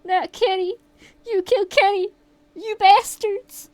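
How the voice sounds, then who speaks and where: A woman streamer reacting live to a story game in a giggly, animated way. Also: very high-pitched, slow pace, dry recording.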